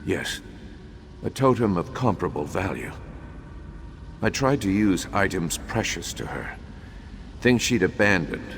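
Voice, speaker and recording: Cursed scholarly war mage, very low pitch, solemn, measured, video game drama, cavernous echo. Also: male voice. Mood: regretful. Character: remorseful undead imperial battle mage, grave, cursed old scholar. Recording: long hollow reverb, faint background noise.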